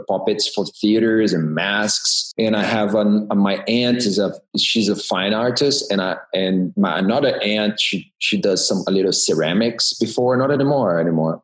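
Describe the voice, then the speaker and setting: A man's voice: deep voice, low-quality recording. A middle-aged man, a guest talking in a casual interview, often repeating words and restarting. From Brazil. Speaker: guest designer.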